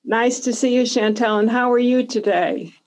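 A woman's voice: on the high side, normal speed, darkish tone. A woman in her seventies giving emphatic, instructive answers as a health expert guest in an interview. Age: 70s.

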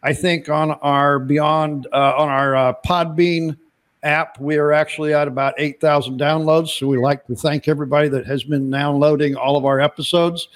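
A man's voice: on the high side, fairly even intonation, normal speed. A man hosting a podcast interview, talking in a loose, rambling way and often repeating words as he starts a sentence. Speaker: podcast host. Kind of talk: casual interview chat.

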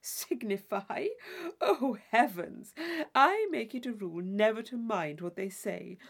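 A woman's voice: medium pitch, expressive, unhurried, vocal fry. A woman voicing an affected, gushing young society lady in an audiobook of a classic novel, with theatrical emphasis. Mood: affected, emphatic.

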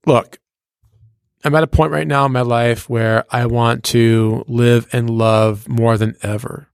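A man's voice: mid-range voice, flat delivery, unhurried, gravelly, clean tone, no echo. Male radio host, mid-range voice, dry close sound, slangy and showy in conversation. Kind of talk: casual, slangy interview talk.

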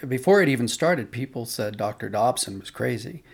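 Husky, gravelly-voiced man in interview. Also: mid-range voice, expressive, a little fast. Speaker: audio drama producer and writer, interview guest. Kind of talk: conversational interview, recounting anecdotes.

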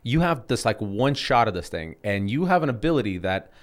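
A man's voice: mid-range voice, big pitch swings, fairly quick. A man in his thirties co-hosting a casual chat, rambling with many restarts and fillers. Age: 30s. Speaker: podcast co-host.

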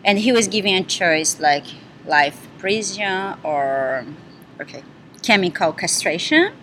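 Woman guest, portuguese native, bright voice, laughs a lot, light hiss behind. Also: lowish voice, very animated, unhurried. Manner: laughs often, searches for English words. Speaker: podcast guest. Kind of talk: casual podcast chat.

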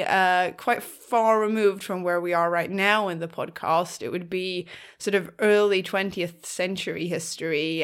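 A woman from southern Sweden whose English carries a Swedish accent. She co-hosts a history podcast, chatting casually and explaining in a friendly way. Her pitch sits in the middle for a woman. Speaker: podcast co-host. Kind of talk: casual chat.

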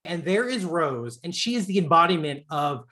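A man's voice: on the high side, expressive, normal speed, bright, forceful tone. Gushy campy guy around 40 doing a celeb interview, lots of jokes. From born in Long Island, raised in Florida. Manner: effusive, campy and joking. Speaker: interviewer host.

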